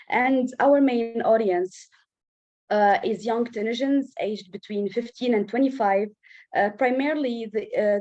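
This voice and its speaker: A woman with a fairly high voice introduces herself as a panelist over a video call. She speaks in a careful, halting way. Her audio is dull and muffled. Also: dark tone. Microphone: video call, camera off.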